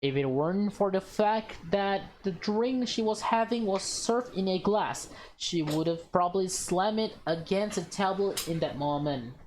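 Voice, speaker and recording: A woman reading a visual novel aloud with casual reactions, halting and stumbling over her words. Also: medium pitch, animated, a little mellow, a little hiss or hum, rough recording.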